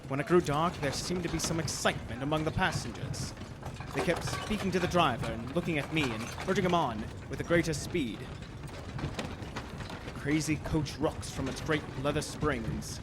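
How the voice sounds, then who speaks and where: A man's voice: a little high, normal speed, heavy background noise, natural voice. A man playing an earnest young lawyer's clerk, narrating his journal formally and intensely in a gothic radio drama. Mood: tense. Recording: loud storm and carriage effects behind.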